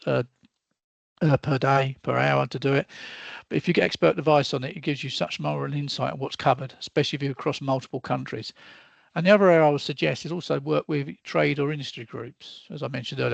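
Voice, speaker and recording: Man, middle-aged, webinar presenter, gravelly, hesitant, self-deprecating, losing his voice, video call mic. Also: medium pitch, no room sound.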